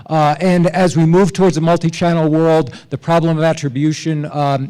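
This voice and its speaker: A man with a smooth, clear, slightly high voice hosts a conference. He makes announcements and introduces the next panel, speaking haltingly with frequent ums and uhs. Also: a little mellow, rough recording. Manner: halting, many fillers. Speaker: conference host.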